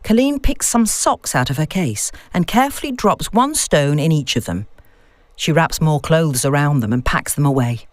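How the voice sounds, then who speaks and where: A woman reading a scripted story as narrator, with expressive storytelling delivery. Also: low voice, moderate pace, no echo.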